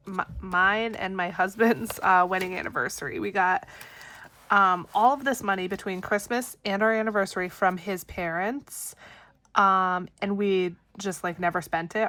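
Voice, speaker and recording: A woman's voice: mid-range voice, fairly bright tone. A woman in her thirties with a stuffy nose, chatting casually to camera and counting cash aloud. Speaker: budgeting vlogger.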